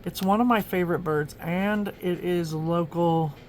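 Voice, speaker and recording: A woman's voice: fairly low pitch, measured pace, darkish tone, slight background noise. Chatty woman from Oklahoma, rambling narration. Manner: chatty and rambling, many ums and restarts. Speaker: journaling vlogger. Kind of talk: casual narration flipping through a journal.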